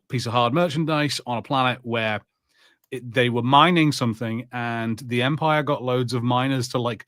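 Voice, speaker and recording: A man's voice: medium pitch, a bit bright, dry recording, studio quality. A man co-hosting a podcast, giving his take in casual chat with a blunt, dismissive, informal manner. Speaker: podcast co-host.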